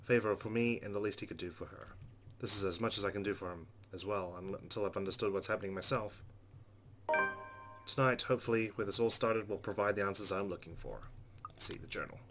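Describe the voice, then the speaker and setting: A man with a fairly low voice reads a visual novel aloud as host of a let's play. He narrates the story expressively and drops in casual asides. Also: fast talker, fairly bright tone, slight background noise.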